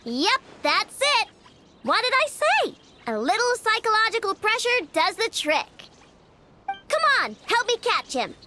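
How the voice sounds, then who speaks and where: Game role: confident young girl tinkerer, very high, animated. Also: unhurried, very noisy, exaggerated voice. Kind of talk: video game voice acting. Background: game music.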